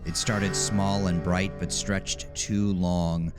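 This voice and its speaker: A man narrating a scripted horror story in a measured, dramatic manner. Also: deep voice, level tone, music in the background.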